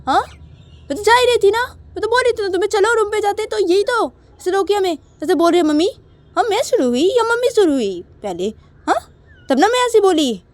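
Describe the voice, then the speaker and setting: An indignant young woman, as a female storyteller acts her out in an audio drama. Her voice sits high, and she argues defiantly. She hammers the same phrases again and again. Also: lively intonation, brisk, no room sound. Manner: indignant, repeats phrases insistently. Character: angry young daughter, defiant and argumentative.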